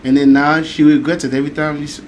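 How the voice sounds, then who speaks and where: A man's voice: a little high, little pitch movement, quick pace, a little mellow. A man co-hosting a podcast, chatting casually and rambling, with lots of "like" and "basically". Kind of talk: casual chat. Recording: noisy room ambience.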